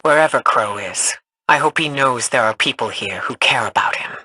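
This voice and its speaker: Composed, forceful veteran adviser. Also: male voice, mid-range voice, moderate pace, breathy edge, no echo, poor audio. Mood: concerned. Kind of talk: video game voice acting.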